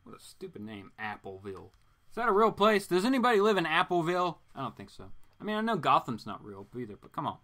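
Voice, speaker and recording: A man's voice: a little high, very animated, quick pace, bright, forceful tone. A man hosting a comics read-aloud, delivering it dramatically with informal, joking asides. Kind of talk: dramatic comic book reading with casual asides.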